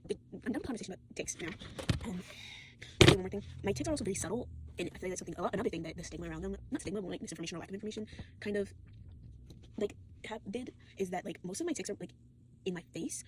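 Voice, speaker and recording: A woman's voice: mid-range voice, very fast, heavy background noise. A tired, sore teenage girl rambling casually to a phone, full of fillers, restarts and swearing. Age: late teens. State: fatigued and sore. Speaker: vlogger.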